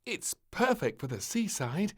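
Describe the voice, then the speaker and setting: Little Princess, a squealing excitable girl, voiced by a man. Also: measured pace, slightly rough, full character voice. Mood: gleeful. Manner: high squeals, bright exclamations. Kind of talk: storybook read-aloud.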